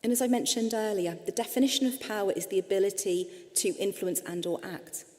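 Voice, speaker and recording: A woman's voice: medium pitch, brisk, darkish tone. Earnest English woman lecturing in a hall. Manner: earnest, explanatory, addresses audience directly. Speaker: clinician giving a presentation. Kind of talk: lecture-style presentation with slides. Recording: long hall reverb.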